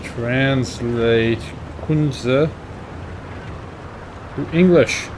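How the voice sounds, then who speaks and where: A man's voice: mid-range voice, very slow. A man giving casual live commentary as he plays a video game, in a dry, wry, half-to-himself manner. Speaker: host of a video game stream. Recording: clear background engine and game noise. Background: video game tank engine and battle sounds.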